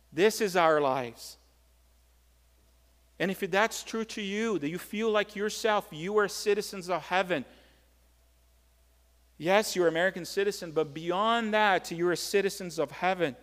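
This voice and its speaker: A man with a Brazilian accent, a native Portuguese speaker, preaching conversationally as a pastor and telling personal stories in an animated, acted-out way. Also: sits high. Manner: animated storytelling, acts out short exchanges. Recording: hall with long reverb.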